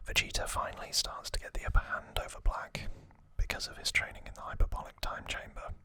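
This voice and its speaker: A man softly whispering an ASMR recap in a breathy, unvoiced voice, with an unhurried, halting delivery and pauses. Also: no room sound. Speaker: ASMR host.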